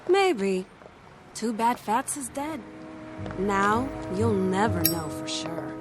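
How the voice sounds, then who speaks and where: A woman's voice: fairly high pitch, very animated, takes it slowly, over music. An actress playing a fed-up, sarcastic girlfriend in a radio drama, speaking in a dry, pointed way. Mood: sardonic.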